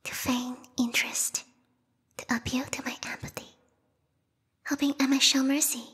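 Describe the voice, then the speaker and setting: A woman voicing a lethal yandere vampire bat girl, a queen's knight with formal, theatrical speech, in a breathy whispered ASMR roleplay. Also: high voice, little pitch movement, normal speed, fairly bright tone, exaggerated voice. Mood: wounded, suspicious. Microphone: close binaural microphone. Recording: reverberant hall-like echo.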